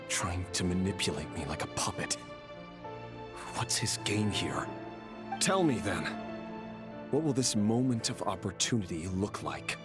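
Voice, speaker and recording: A man playing a brooding, weary soldier in a video game, speaking in a grave, measured way. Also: deep voice. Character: brooding, weary young male soldier torn between duty and mercy. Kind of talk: dramatic video game acting. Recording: game music behind.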